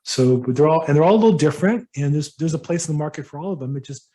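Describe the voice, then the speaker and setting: A middle-aged man with a mellow, somewhat high voice answers questions from a workshop audience. He speaks casually and haltingly, with frequent ums and restarts. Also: expressive. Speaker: real estate broker hosting a workshop. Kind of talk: casual advice to attendees.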